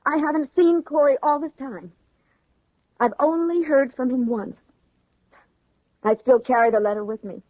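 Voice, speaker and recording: A woman's voice: high-pitched, moderate pace, long pauses, no echo. An actress playing a proud, emotional young woman in a radio drama, indignant and theatrical. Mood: bitter, weary. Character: proud, defiant, distressed young engaged woman. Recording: dull vintage radio recording.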